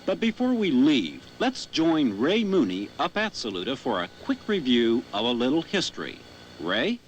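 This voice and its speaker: A man narrates a training film from a script in a medium-pitched voice with very lively intonation. Train noise is clearly heard behind him. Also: moderate pace, poor audio. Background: train rumble and horn.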